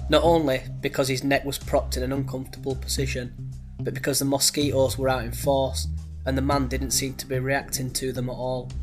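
Man, stuffy head cold, flat even narration, music underneath. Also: medium pitch. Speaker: podcast host. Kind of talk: true crime narration.

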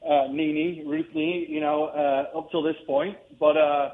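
Halting male coach on a phone line. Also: on the high side, steady tone. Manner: hesitant, halting. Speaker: college soccer coach.

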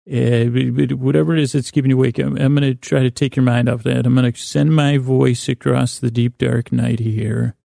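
A man with a creaky, gravelly voice, rambling gently and hesitantly as the friendly, goofy host of a bedtime podcast. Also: medium pitch, flat delivery, normal speed, hardly any pauses, no echo, clean studio sound. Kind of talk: rambling, meandering bedtime monologue.

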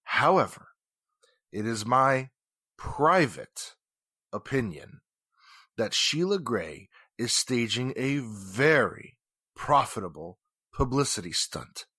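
Actor as deliberate, bitter 1940s radio businessman. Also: mid-range voice, sing-song, bright, forceful tone, husky, dry recording, full character voice. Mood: indignant, accusing. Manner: deliberate, emphatic, formal phrasing. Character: indignant, formal wealthy businessman, suspicious and bitter divorced husband. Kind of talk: 1940s radio drama acting.

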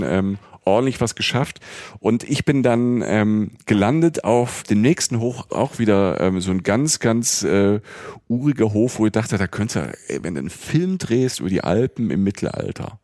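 A man's voice: on the low side, a little mellow, no echo, studio quality. A man from the Palatinate co-hosting a podcast, telling a rambling, chatty travel story with many fillers. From Palatinate, Germany. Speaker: podcast co-host. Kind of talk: casual travel chat.

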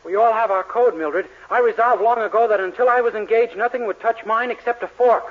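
A man's voice: high-pitched, fairly quick, natural voice. An actor playing a naive, bashful, earnest young man with an innocent, literal-minded manner in a 1940s radio sitcom. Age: young adult. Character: naive, earnest, bashful young bachelor, dim but eager. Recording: dull, noisy 1940s radio recording.

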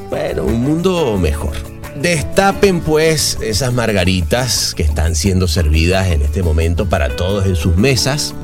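A low-voiced man plays the showy emcee in a scripted intro, his pitch swinging widely. Music plays clearly behind him. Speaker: podcast host.

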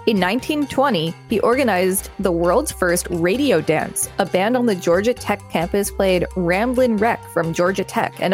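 Woman, mid-range voice, podcast co-host, read-aloud article style, casual banter, faint music behind. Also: medium pitch.

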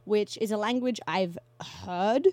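A young woman in casual conversation, chatty and quick to laugh, often restarting her sentences. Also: medium pitch, darkish tone, no room sound.

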